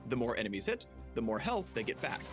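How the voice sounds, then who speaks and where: A man's voice: mid-range voice, sing-song, fast talker. A man reading scripted promotional narration for a game guide in a polished, punchy, playful way. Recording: music bed under narration.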